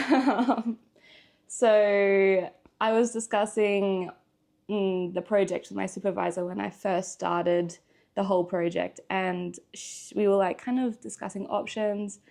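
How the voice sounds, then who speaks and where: A woman's voice: medium pitch, fairly even intonation, takes it slowly, vocal fry, a little mellow. A woman from Australia, chatting casually as a guest, with frequent ums and laughs. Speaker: guest, PhD student. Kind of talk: casual interview chat.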